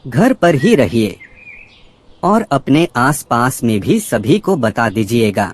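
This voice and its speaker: A man voicing a talkative, polite male crow who brings news in a cartoon, with an animated, respectful manner. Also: medium pitch, takes it slowly. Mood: chatty, informative. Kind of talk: Hindi cartoon character acting.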